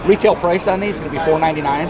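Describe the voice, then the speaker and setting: A man's voice: fairly high pitch, rapid-fire. A man giving a casual product demonstration as a company representative, explaining things in a plainspoken, agreeable way. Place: busy indoor trade show floor. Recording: busy trade show crowd noise.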